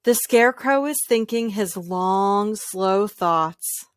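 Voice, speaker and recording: A woman reads a children's story aloud with a calm, gentle delivery. Her mid-pitched voice moves in deliberate, measured phrases with small pauses. The sound is close and dry, without room echo. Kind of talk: calm children's picture book read-aloud.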